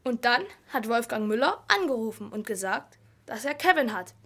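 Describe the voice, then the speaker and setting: A man's voice: extremely high pitch, expressive, normal speed, sharp tone, exaggerated voice. A young boy playing a confident, matter-of-fact police officer in an amateur radio play. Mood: matter-of-fact, smug. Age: child or young teen.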